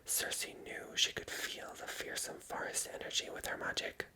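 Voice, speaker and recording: ASMR storyteller: unvoiced breathy whisper, very bright sibilant edge, gentle hushed read. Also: moderate pace. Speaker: ASMR video host reading aloud.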